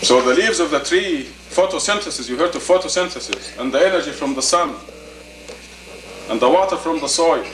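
A man in his fifties giving a sermon as an imam, deliberate and emphatic, in short phrases and rhetorical questions. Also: a little high, lively intonation. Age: 50s. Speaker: imam, preacher. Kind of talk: sermon, teaching plainly to a congregation. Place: indoor meeting room. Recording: roomy, distant camcorder audio with faint noise.

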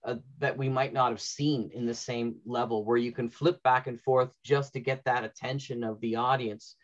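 Male, middle-aged, medium pitch, panel moderator, slightly muffled video-call recording. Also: steady tone, moderate pace. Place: small room at home, indoors. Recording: dull video-call audio.

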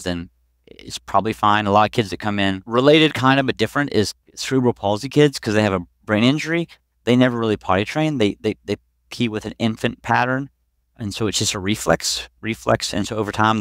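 Male medical expert, 40s, podcast guest: low, smooth voice, explains casually in plain everyday terms. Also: fairly bright tone, no echo, clean studio sound. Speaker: pediatric urologist, podcast guest. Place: small clinic office, video call. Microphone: headset boom mic.

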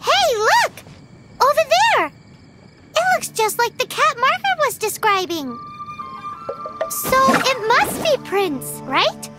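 A chirpy little fairy girl from a video game. The voice is childlike, squeaky and very high, with lively swings in pitch. She gives bossy, perky guidance over prominent music. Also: slow, long pauses, full character voice. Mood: excited. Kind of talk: video game character dialogue.

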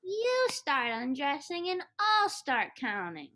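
A woman's voice: high-pitched, huge pitch swings, slow, pushed and bright, full character voice. A woman playing a mischievous, stubborn little girl in a 1940s radio comedy, with whiny, insistent questioning. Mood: playful, curious.

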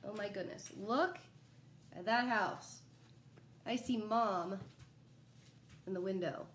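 A young adult woman reading a children's picture book aloud like a teacher, in an animated, expressive way with asides to young listeners. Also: medium pitch, a little hiss or hum. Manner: animated, pausing to ask young listeners questions. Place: small living room at home, indoors. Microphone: phone camera a short distance away.

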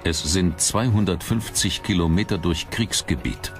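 A man reading scripted documentary narration in a measured, dramatic manner. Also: deep voice. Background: background music.

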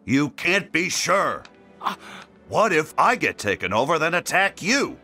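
A man playing a serious, heroic space ranger toy in an animated game, earnest and decisive. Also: a little high, measured pace, soft background music, heavily acted. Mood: worried.

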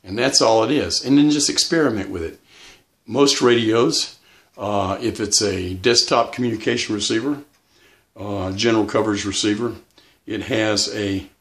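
A middle-aged man giving a casual, plainspoken how-to at home, pausing often with "uh". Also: fairly low pitch, a bit bright. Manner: plainspoken, with frequent restarts and "uh" pauses. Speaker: radio hobbyist demonstrating antennas. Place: indoors at home.

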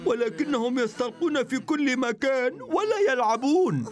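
A man's voice: extremely high pitch, animated, moderate pace. A man playing an anxious, flustered older town mayor in a dubbed children's show, fretful and hesitant. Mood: panicked.

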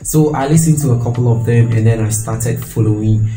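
A man's voice: medium pitch, fairly quick, dark tone, soft background music. Young Ghanaian man in an echoey room. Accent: Ghanaian English. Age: young adult. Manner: earnest, storytelling with hand gestures. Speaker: freelance designer and video creator. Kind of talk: casual talking-head video. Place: small home room with shelves. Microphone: clip-on lapel mic.

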